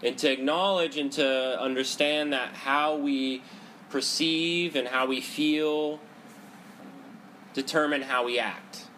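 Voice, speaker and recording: Loud-voiced man teaching with a head cold. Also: medium pitch, steady tone, slow, a little hiss or hum. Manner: loud talker; conversational, frequent "right?" and "you know". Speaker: meditation teacher. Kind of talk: Buddhist psychology talk to a group. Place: indoor room with a group, seated cross-legged.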